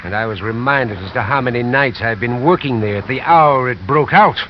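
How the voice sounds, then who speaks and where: A man's voice: mid-range voice, a little fast, close to an everyday voice. An actor playing a haughty, indignant older businessman in 1950s radio drama, pompous and formal. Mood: troubled, stiff. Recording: muffled, noisy vintage radio recording.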